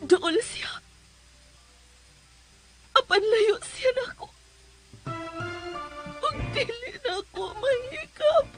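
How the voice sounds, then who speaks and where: A woman's voice: very high voice, animated, very slow, long pauses, faint music behind, full character voice, rough recording. An actress with a Filipino accent playing a volatile, tormented woman in a radio melodrama, tearful and overwrought. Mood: tearful, bitter. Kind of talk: Philippine radio drama acting.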